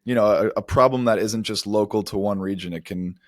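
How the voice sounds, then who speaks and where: A man's voice: on the low side, brisk, no room sound, clean studio sound. A man co-hosting a podcast, explaining startup news in an articulate, semi-scripted way. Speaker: podcast co-host.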